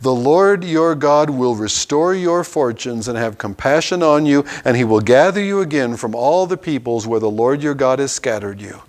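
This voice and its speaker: A man's voice: medium pitch, lively intonation, normal speed, hardly any pauses. A man teaching a Bible class, reading scripture passages aloud and stopping to explain them in an everyday way.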